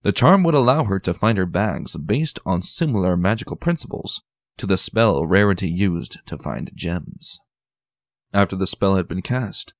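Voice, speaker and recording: Low-voiced man narrating a dark tale. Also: dry recording, clean studio sound. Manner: expressive, suspenseful storytelling. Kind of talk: dramatic story narration.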